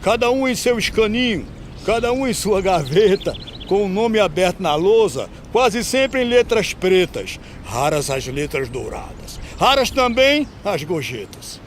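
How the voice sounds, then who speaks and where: Veteran gravedigger, weary grumbler, higher male voice, plaintive verse, animated film, slight outdoor noise. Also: unhurried. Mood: wistful, wry.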